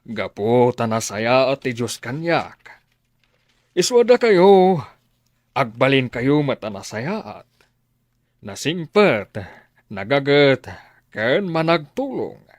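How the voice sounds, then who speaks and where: A man playing a kindly, pious, wealthy benefactor in an Ilocano radio drama, speaking in a deliberate, emphatic way. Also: mid-range voice, big pitch swings, dry recording, clean studio sound. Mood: benevolent, advising.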